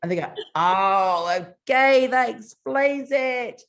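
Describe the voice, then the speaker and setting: A woman's voice: fairly high pitch, expressive, takes it slowly. A British woman, an author, who reads fiction aloud and chats casually about it. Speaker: author and show host, guest. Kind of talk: casual chat and reading own fiction aloud.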